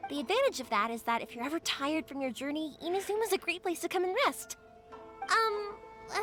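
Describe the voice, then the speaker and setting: An actress playing a cheerful, chatty young woman who makes fireworks in a game, eager to please, with game music behind her. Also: high-pitched.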